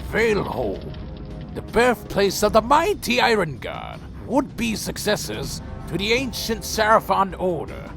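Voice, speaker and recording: Ancient vampire clan lord for fantasy audio drama: proud, brooding, contemptuous, higher-pitched, very slow delivery. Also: male voice, huge pitch swings, gravelly, heavily acted. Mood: grim. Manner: slow, theatrical, brooding and menacing. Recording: faint music under the voice.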